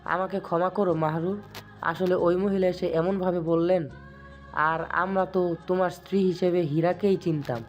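A man's voice: high voice, fairly even intonation, normal speed, highs cut off, close to an everyday voice. A man playing a humble, grateful elderly landlord with a pleading, deferential manner. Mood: ashamed. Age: older. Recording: music bed under the voice.

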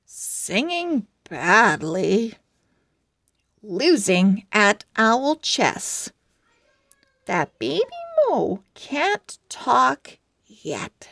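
A woman's voice: mid-range voice, very animated, extremely slow, creaky, a bit bright, no echo, clean studio sound, heavily acted. A woman reading as an enthusiastic young girl owl from a storybook diary, with exaggerated expressiveness. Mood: displeased. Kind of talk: children's storybook read-aloud.

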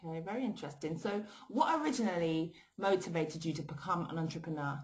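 An upbeat woman hosting a podcast, interviewing her guest. Her voice sits a little low for a woman. She asks friendly, open questions. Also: fast, low-quality recording. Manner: upbeat, warm praise for guest. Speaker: podcast host.

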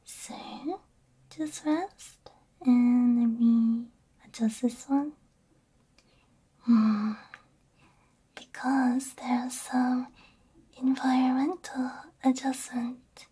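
A woman whispering gently and soothingly in an ASMR roleplay as a repair technician, breathy and close to the microphone. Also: high-pitched, level tone. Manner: gentle, soothing, unhurried, soft hums between phrases. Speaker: ASMR VTuber playing a robot repair technician. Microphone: close binaural ASMR microphone.

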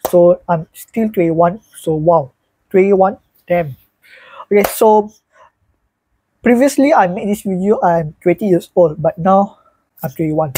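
A man's voice: high voice, measured pace, pauses often, dark tone, husky, low-quality recording. A young man of twenty-one, chatting loosely to camera in a small bedroom and often repeating "so yeah" and "alright, guys". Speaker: YouTuber. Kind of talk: casual vlog talk to camera. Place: small bedroom with a fan, indoors, seated.

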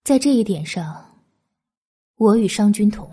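A woman voicing a shrewd, resolute female strategist in an audiobook, measured, wry and commanding. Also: medium pitch, lively intonation, mellow, breathy edge. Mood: grave, resolute.

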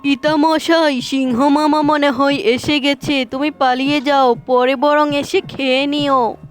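A woman voicing a sly, flattering fox in a children's cartoon, with a wheedling, theatrical delivery. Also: sits high, takes it slowly, faint music behind. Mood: urgent warning.

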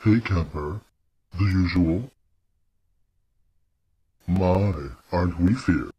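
A man voicing a menacing, mocking alien in a cartoon, with a pitched-down voice and backward reverb effect. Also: extremely slow, warm tone, breathy edge, full character voice. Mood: sly, mocking.

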